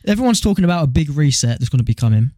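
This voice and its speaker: A man's voice: a little high, very animated, rapid-fire, mellow, no echo, clean studio sound. A young British man co-hosting a podcast, chatting casually in a slangy, sweary, opinionated way. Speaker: podcast co-host.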